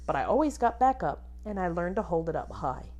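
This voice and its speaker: Lady teacher doing a kids read-aloud, very animated, lowish voice, explains and asks stuff. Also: a little fast. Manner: expressive, instructive, asks the listeners questions. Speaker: music teacher reading a picture book to pupils. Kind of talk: children's read-aloud with questions.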